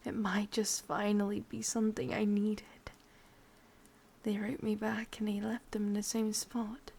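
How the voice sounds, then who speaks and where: A woman playing a sadistic, possessive, lovesick witch in a whispered ASMR roleplay, speaking intimately in an English accent with a breathy, husky voice. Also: medium pitch, level tone, darkish tone. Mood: wistful. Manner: slow, intimate, menacingly tender.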